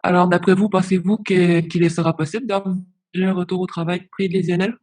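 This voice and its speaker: Male case agent. Slightly high voice, polite, hesitant, questioning delivery. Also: little pitch movement, fairly quick, darkish tone. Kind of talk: professional phone conversation, questioning.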